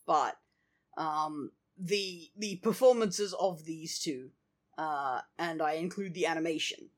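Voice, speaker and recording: A woman co-hosting a casual podcast about an animated film, speaking in a lively way and laughing easily. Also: sits low, slow pace. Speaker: podcast co-host.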